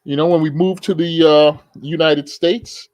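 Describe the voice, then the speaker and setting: A man's voice: on the high side, a little slow, no room sound. Talkative middle-aged man from the Caribbean. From the Caribbean; born in the Bahamas, grew up in Barbados. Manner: relaxed, talkative storyteller. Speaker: guest. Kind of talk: casual podcast chat.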